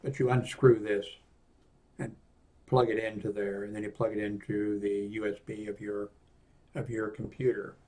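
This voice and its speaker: An older man with an American accent giving an unscripted product walkthrough in a halting, rambling way that often trails off. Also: lowish voice, little pitch movement, warm tone. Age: 60s or older. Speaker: presenter of a radio equipment review. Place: small indoor room at a desk.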